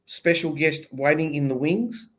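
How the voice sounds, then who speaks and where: A man's voice: a little high, measured pace. A man co-hosting a casual football chat show, cracking jokes and teasing in easy banter. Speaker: podcast co-host.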